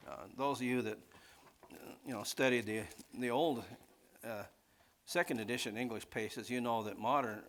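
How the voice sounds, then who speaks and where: A man's voice: mid-range voice, fairly bright tone, slight background noise. A man preaching in an informal teaching style, rambling conversationally off the cuff and often checking in with his listeners. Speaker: preacher teaching a Bible class.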